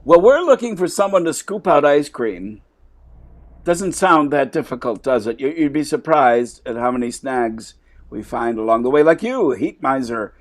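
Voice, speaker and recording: A man's voice: medium pitch, lively intonation, moderate pace, lightly acted. A man playing a flustered ice cream shop owner. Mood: exasperated. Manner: exasperated, huffy, flustered. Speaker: improv player.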